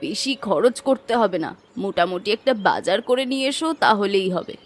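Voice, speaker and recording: A woman voicing a caring, gently scolding village housewife in a cartoon fairy tale, homely and coaxing. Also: medium pitch, animated, fairly quick, faint music behind, natural voice. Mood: affectionate.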